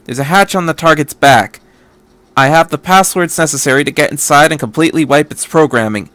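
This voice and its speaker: A man voicing a brisk, resolute female military officer in a story, commanding and matter-of-fact. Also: on the high side, fairly bright tone, no echo, lightly acted. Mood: determined.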